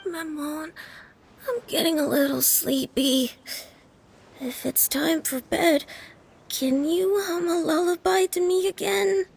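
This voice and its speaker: Drowsy high-voiced little prince. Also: female voice, fairly even intonation, slow pace, gravelly, exaggerated voice. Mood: sleepy affection. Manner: soft, childlike, drowsy. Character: sleepy, affectionate little prince, a small child. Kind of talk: English game voice acting.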